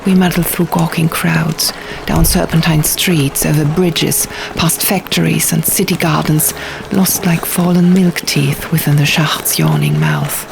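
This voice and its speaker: A woman reading fiction as a dramatic audiobook narration, with measured, deliberate phrasing. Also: sits low, gravelly. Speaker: audiobook reader. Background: music.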